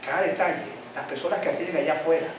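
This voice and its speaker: A male pastor preaching with a fairly high voice, drawing answers from his congregation with repeated questions. A slight tongue-tie tangles his words at times. He is heard from some distance in a very echoey church hall. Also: racing, background noise. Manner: call-and-response questions to the congregation. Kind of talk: sermon.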